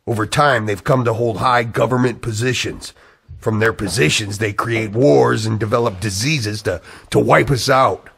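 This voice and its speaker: An actor playing an agitated, earnest man who believes in a dark conspiracy, in a radio-style drama. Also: mid-range voice, normal speed, vocal fry, breathy edge. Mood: grave, insistent. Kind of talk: scripted radio-play acting.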